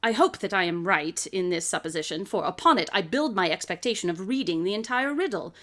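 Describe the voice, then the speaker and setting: A woman's voice: fairly low pitch, lively intonation, brisk, a bit bright. A woman playing a brilliant, eccentric detective in a live radio drama, coolly superior, lecturing in precise, didactic speech. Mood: grave, confident. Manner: pompous, didactic, precisely articulated. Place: closet or desk at home.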